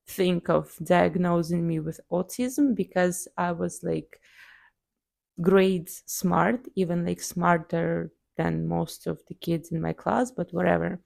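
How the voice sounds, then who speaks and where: A woman's voice: on the low side, vocal fry, darkish tone, dry recording, studio quality. A young adult woman with a Russian accent, telling her personal story in a casual video diary, full of fillers. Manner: conversational, many fillers like "like" and "um". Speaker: vlogger, psychologist.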